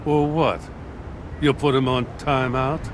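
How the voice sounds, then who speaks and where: An actor playing a weary, decent but stubborn police chief in a video game, gravelly, plain-spoken and earnest. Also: male voice, mid-range voice, little pitch movement, takes it slowly, background noise, close to an everyday voice. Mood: defiant.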